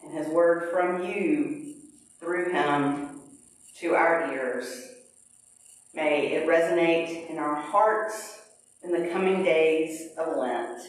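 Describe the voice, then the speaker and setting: Slow echoing woman leading prayer. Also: low voice, a little hiss or hum. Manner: slow, measured liturgical delivery. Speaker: pastor. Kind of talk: pastoral prayer and scripture reading. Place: large church sanctuary. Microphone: pulpit mic, far camera. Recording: very echoey sanctuary, distant camera.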